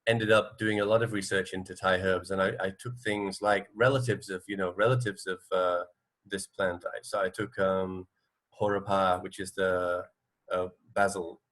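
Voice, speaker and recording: Guy with a lowish voice chatting on radio, kinda hesitant and halting. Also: little pitch movement, a bit bright. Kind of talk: casual radio discussion.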